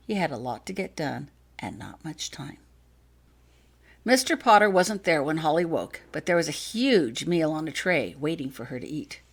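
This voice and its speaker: Woman narrating a story with lively intonation. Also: sits low, breathy edge. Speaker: author reading own fan fiction. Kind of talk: expressive scripted storybook narration.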